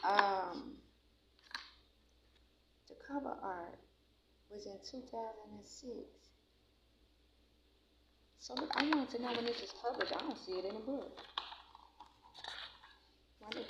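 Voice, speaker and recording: A woman from Chicago in her forties, casually reviewing a book on a vlog, reading aloud haltingly and rambling with many ums. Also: medium pitch. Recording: echoey room, muffled lo-fi with faint noise.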